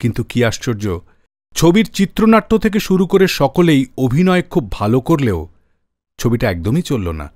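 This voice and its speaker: A man's voice: on the high side, sing-song, moderate pace, a little mellow, dry recording, studio quality. A man hosting a radio show, narrating the story behind old film songs in a conversational, storytelling way.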